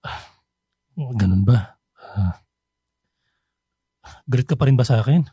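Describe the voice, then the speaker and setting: Remorseful dad, middle-aged: very dark, husky, halting and pleading, radio drama over faint music. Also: male voice, mid-range voice, dry recording. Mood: hesitant, hurt. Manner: halting, soft-spoken, apologetic. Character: remorseful middle-aged father, hesitant, pleading, hiding his true self.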